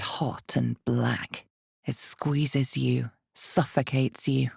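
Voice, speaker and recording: A woman playing the ominous, knowing narrator of a dark fantasy game, speaking slowly and deliberately with dramatic weight in a low, gravelly voice. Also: no room sound, studio quality, exaggerated voice. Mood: intense, savoring. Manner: slow, deliberate, dramatic delivery. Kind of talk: scripted second-person fantasy game narration.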